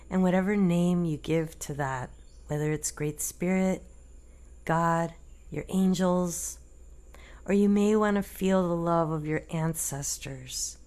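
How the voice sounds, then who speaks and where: A woman leading a guided meditation in a gentle, deliberate manner, leaving long pauses between short phrases. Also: on the low side.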